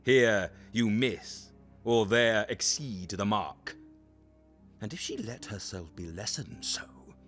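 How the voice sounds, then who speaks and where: A man performs a proud aristocratic duke in an audio drama, coldly menacing and eaten by jealousy. The verse comes slowly and deliberately, in a sharp, forceful tone that swings widely in pitch. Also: animated, faint music behind. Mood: indignant, bitter. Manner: haughty, deliberate, emphatic.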